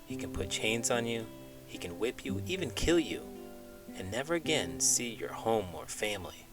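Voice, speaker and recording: A man, a teacher, reading a children's book aloud in an expressive storytelling manner. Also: mid-range voice, normal speed. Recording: music playing behind.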